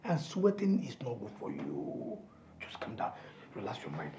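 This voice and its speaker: An actor with a Nigerian accent playing an excitable, placating friend in a film drama, stammering and repeating himself as he pleads. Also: mid-range voice, normal speed, vocal fry, far from the mic, a little hiss or hum. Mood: pleading. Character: excitable male friend and fixer, frantic, placating. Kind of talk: Nollywood-style film drama acting. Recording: echoey room, music bed.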